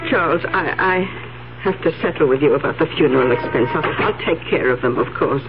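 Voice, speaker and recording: A woman's voice: low-pitched, fairly quick. An actress playing an anxious, grieving elderly aunt in a 1970s radio drama, hesitant and stammering, often repeating her words. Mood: subdued, resigned. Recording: tinny 1970s radio broadcast, music behind.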